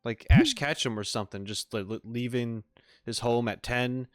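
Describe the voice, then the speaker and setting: A man's voice: mid-range voice, moderate pace, no echo. A man giving casual, dry, sarcastic let's-play commentary as a gaming streamer, close to the mic.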